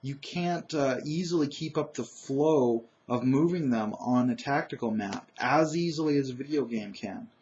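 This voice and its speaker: A man with a naturally loud voice talking alone about tabletop gaming, emphatic and opinionated, with frequent "uh"s and restarts. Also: medium pitch, normal speed, few pauses, highs cut off, slight background noise. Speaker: gaming commentator. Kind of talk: solo opinion monologue.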